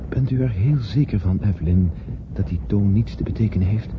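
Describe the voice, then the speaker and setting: Actor as a low-voiced, earnest gentleman. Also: fairly quick, lightly acted. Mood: troubled, confessional. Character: earnest, guilt-ridden gentleman in love, uneasy traveller. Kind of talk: Dutch radio play acting. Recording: dull, noisy old radio play recording.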